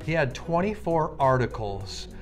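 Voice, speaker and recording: A man preaching with enthusiasm, reading a Bible passage slowly and emphatically. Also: a little high, big pitch swings, soft background music. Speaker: minister.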